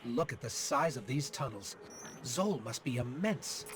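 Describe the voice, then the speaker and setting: An eager little robot companion, voiced by a man, reporting scans and readings with a lively, bouncing delivery. He sits over loud game battle noise. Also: medium pitch, unhurried, close to an everyday voice. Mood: analytical. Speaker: scout companion.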